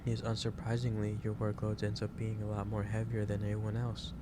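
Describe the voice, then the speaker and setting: A man acting as a gentle office boss speaks low and in a near monotone. He is caring and reassuring, picking his words haltingly as in a soft ASMR roleplay. Clear background noise sits behind the voice. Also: natural voice. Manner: halting, reassuring, unhurried delivery. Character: gentle, caring male office boss lecturing an overworked employee. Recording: clearly noisy background ambience.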